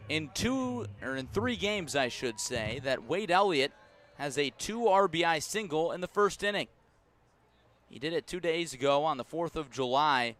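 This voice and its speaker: An American man doing live radio play-by-play of a baseball game in a measured, descriptive style. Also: a little high. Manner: measured, descriptive calls that rise to excitement on big plays. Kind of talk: live radio sports commentary.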